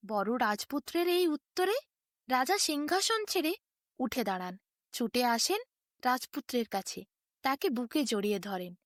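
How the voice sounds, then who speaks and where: A woman with a high voice tells a bedtime fairy tale, her pitch rising and falling in a lively sing-song. The sound is dry and close, with no room around it. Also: normal speed, no room sound. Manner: sing-song storytelling, quoting figures in her own voice. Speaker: storyteller.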